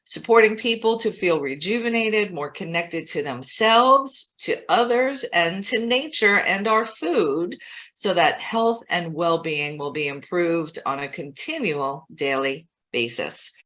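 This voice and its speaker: A woman's voice: fairly low pitch, expressive, a little slow, fairly bright tone. A woman hosting an online interview show, introducing her guest with enthusiasm. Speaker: host.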